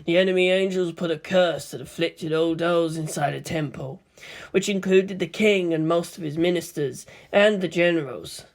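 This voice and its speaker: Low-voiced woman narrating a story. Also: moderate pace. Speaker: narrator reading fan fiction aloud. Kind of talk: scripted narration.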